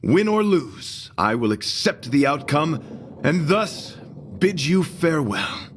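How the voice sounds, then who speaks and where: A man's voice: fairly high pitch, sing-song, slow, fairly bright tone, a little hiss or hum, full character voice. A man playing an earnest, courtly knight devoted to beauty in an English game dub, speaking in a formal, florid, theatrical and solemn way. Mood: proud resolve. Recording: music behind.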